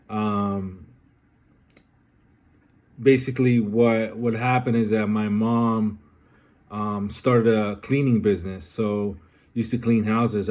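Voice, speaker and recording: A young man from Colombia talking through a personal, reflective vlog, pausing often with ums and uhs. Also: fairly low pitch, darkish tone. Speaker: vlogger.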